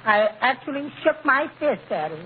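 An actor playing a meek, mournful, henpecked little husband with timid politeness in a radio comedy. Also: male voice, very high-pitched, big pitch swings, moderate pace, phone-quality sound. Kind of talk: 1940s radio comedy acting. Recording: thin, hissy old radio broadcast.

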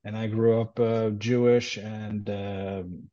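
Mellow-voiced man. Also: fairly low pitch, level tone, takes it slowly, lo-fi sound. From Israel. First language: Hebrew. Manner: hesitant, many ums and restarts. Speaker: translator, guest. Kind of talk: casual interview talk.

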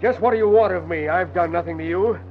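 An actor playing a frightened, indignant crook, nervously pleading and protesting in old-time radio drama style. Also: male voice, high-pitched, fast, lightly acted. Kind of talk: 1940s radio acting. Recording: dull, faintly noisy old radio recording.

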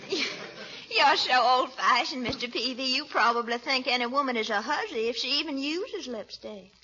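A woman's voice: high voice, animated, moderate pace, bright, forceful tone, husky, full character voice. An actress playing a coy, vain, flirtatious Southern lady in 1940s radio comedy style, with a teasing, affected manner. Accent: Southern American. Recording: muffled, noisy old radio recording.

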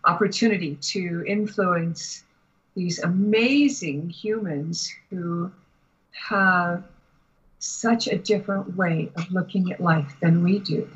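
Husky American woman, measured explainer. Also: lowish voice, highs cut off. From United States. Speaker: guest spiritual teacher. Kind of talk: conversational interview talk with anecdotes.

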